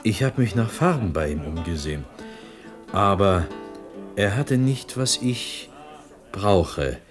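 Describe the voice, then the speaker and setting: An actor in a German radio play playing a humble, naive young village potter, hesitant and earnest in manner. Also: male voice, on the low side, lively intonation, slow pace, soft background music.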